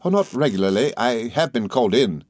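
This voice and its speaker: A man voicing a meek, timid middle-aged country doctor who speaks slowly and apologetically, stammering and adding sir to nearly every phrase. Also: medium pitch, animated, a little mellow, dry recording, voice pushed up, lightly acted. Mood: meek, fluttered. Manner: slow, apologetic, hesitant, with deferential sirs.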